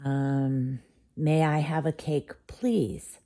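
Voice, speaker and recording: A woman's voice: deep voice, steady tone, extremely slow, mellow. A woman voicing a polite, eager young boy teacup in a children's bedtime story.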